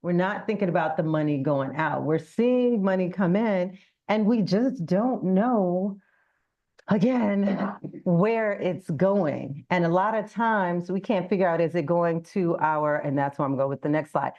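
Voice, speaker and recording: A woman's voice: fairly low pitch, a little slow, a little mellow, dull audio. A woman giving an informal business presentation as a guest speaker, conversational, using rhetorical questions and acted-out examples.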